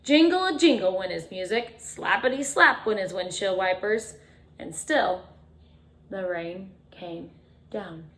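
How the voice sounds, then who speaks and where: A woman reading a picture book aloud to children like a teacher, expressively, with dramatic emphasis and chatty asides. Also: mid-range voice. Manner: expressive, dramatic emphasis, drawn-out refrains, chatty questions to young listeners. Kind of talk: animated children's storytime read-aloud with asides to listeners.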